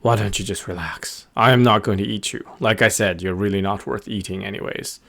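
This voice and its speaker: A man voicing a big, tired, grumpy but soft-hearted wolf in a children's story, with weary grumbling. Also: on the low side, fairly quick, creaky. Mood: softened, relaxed.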